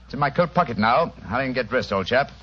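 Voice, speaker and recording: A confident British gentleman detective, theatrical and brisk in old radio drama style. The delivery swings widely in pitch, with a husky edge and a slightly dull broadcast sound. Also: animated. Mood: eager, excited.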